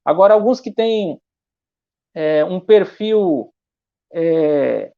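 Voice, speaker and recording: Halting missionary man, muffled audio. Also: high voice, lively intonation, vocal fry. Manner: halting, many restarts and fillers. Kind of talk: conversational church interview.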